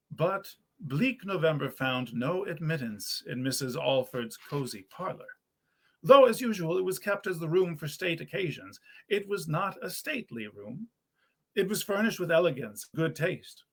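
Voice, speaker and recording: Man reading a story, slightly high voice. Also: vocal fry, fairly bright tone. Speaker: actor reading a story aloud. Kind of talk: theatrical storytelling of 19th-century literature. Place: period parlor in a historic house.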